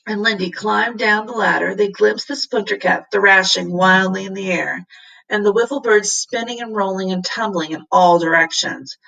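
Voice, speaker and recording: Woman teacher reading a kids adventure story out loud, dramatic, bit of creak, mic kinda far away. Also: medium pitch. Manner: dramatic, acts out the characters' lines. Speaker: teacher reading aloud to her class. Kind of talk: expressive children's story read-aloud.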